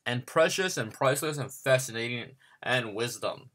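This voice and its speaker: A proud, adoring father from a children's storybook, acted out by a man. The voice sits at an average pitch with animated swings. The delivery is emphatic and doting. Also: moderate pace.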